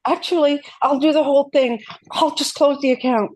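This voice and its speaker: A woman's voice: high-pitched, fairly even intonation, moderate pace, a little mellow, natural voice, low-quality recording. A woman playing a frightened, desperate mother in a script read, hesitant and stammering. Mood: nervous, stammering. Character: frightened, desperate mother under a kidnapper's orders. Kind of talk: dramatic script table read.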